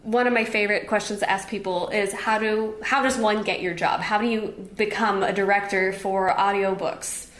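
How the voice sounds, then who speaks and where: A woman's voice: medium pitch, fairly even intonation, moderate pace, hardly any pauses, a bit bright. A woman hosting a podcast, introducing and questioning her guest in a conversational way. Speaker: podcast host, interviewer. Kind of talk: conversational interview. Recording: roomy, echoey indoor sound.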